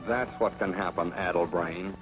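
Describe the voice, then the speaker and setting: A man's voice: low voice, steady tone, moderate pace, over music, low-quality recording. A man narrating a military training film with a stern, scolding, instructive manner. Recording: old film soundtrack with hiss.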